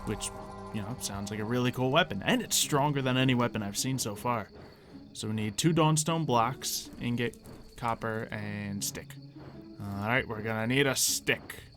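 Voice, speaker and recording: Man chatting casually over loud music. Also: medium pitch, normal speed. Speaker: gaming video creator. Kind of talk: casual improvised Minecraft roleplay commentary. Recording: music under the voice. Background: game music.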